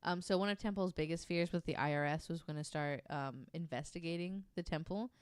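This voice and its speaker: A woman hosting a podcast, casually narrating a researched story from notes in an informal, halting way. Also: low voice, clear, resonant tone, dry recording, studio quality.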